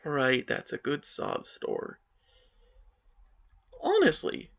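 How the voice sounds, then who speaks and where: A man's voice: fairly high pitch, huge pitch swings, slow, gravelly, dull audio. A man giving a dramatic reading of online forum comments, with exaggerated, indignant, theatrical emphasis. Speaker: reader of forum posts.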